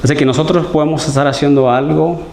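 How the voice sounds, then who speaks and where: A man's voice: fairly high pitch, fairly even intonation, fast talker, creaky, a little mellow. A man from Guatemala, giving an earnest, conversational sermon as a lay preacher and often asking his listeners for agreement. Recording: roomy church hall echo.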